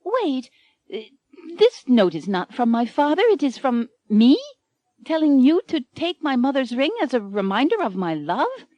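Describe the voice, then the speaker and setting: A woman voicing a headstrong young heroine, shrill and melodramatic. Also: sing-song, measured pace, dry recording, dull audio. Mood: anxious.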